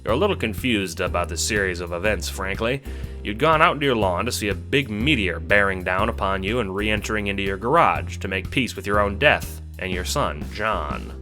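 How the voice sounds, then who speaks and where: A man's voice: lowish voice, lively intonation, fairly quick, sharp tone, faint music behind. A man narrating a scripted story in the second person, in the style of an audiobook.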